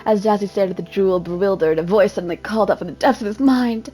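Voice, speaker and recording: A woman reads a story aloud over soft music in a mid-range voice. She plays up the drama theatrically, then drops into casual, self-mocking asides as an amateur reader. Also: mid-range voice, fast, husky.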